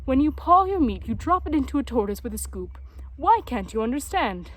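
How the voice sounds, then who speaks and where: A woman's voice: high voice, big pitch swings, a little fast. A woman voicing a sly male tortoise in a children's storybook, pedantic and teasingly contrary in manner. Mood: coolly contrary.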